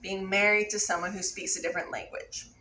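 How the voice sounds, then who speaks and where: A woman's voice: mid-range voice, flat delivery, fairly quick, sharp tone, recorded from a distance. Young American woman vlogger, chatty and explanatory, echoey room, faint background noise. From Buffalo, USA. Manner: chatty, explains at length with frequent "like". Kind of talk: casual chat.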